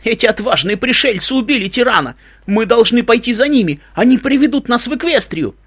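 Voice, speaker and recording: A man's voice: on the high side, big pitch swings, moderate pace, creaky, fairly bright tone, slightly rough, dry recording, studio quality, heavily acted. A man voicing an old, weary, grave grandfather pony who speaks in a grim colloquial way for a storybook. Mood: rousing.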